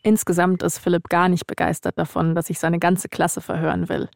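A woman's voice: fairly low pitch, flat delivery, brisk, vocal fry, dry recording, clean studio sound. A woman, speaking as a podcast host in narration and casual chat.

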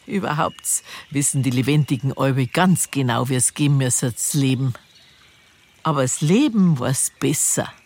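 Husky old Bavarian grandmother. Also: a bass voice, expressive, normal speed, a little mellow, exaggerated voice. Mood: wry, calm. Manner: dry, philosophical. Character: dead old grandmother, wry and matter-of-fact.

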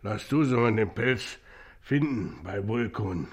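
An actor playing a sullen, henpecked working-class husband in a theatrical dialect comedy. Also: male voice, fairly low pitch, lively intonation, slow, breathy edge, full character voice. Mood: grumbling, stubborn.

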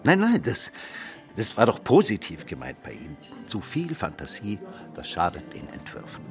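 A man's voice: lowish voice, huge pitch swings, normal speed, creaky, music in the background, exaggerated voice. An actor playing a world-weary, cynical man who philosophizes in a rambling, theatrical, mock-courteous way in a radio drama. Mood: musing, dry. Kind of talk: German radio drama dialogue.

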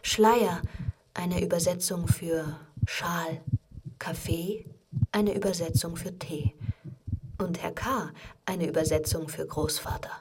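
Slow, soft-spoken woman. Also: lowish voice, steady tone, background noise. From Iran. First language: Persian. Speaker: author reading her own text. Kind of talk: poetic literary radio feature reading. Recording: studio radio feature over marimba and xylophone music.

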